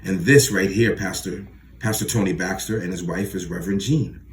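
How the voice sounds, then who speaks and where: An eager, slick-talking preacher who gushes and pushes ahead, as a male narrator plays him. His voice sits a little low. A faint hiss runs under it. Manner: gushing, fast and pushy. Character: eager breathless middle-aged male preacher, slick talker.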